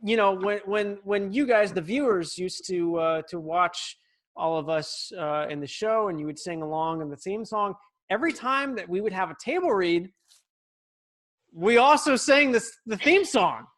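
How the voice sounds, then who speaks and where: A man's voice: sits high, animated, normal speed, fairly bright tone. A man chatting casually with a group at a reunion table read over a video call.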